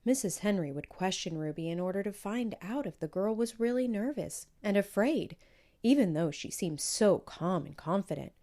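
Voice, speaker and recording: A woman reading a children's book aloud in measured, clearly phrased storytelling narration. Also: medium pitch, expressive, mellow. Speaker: storybook reader.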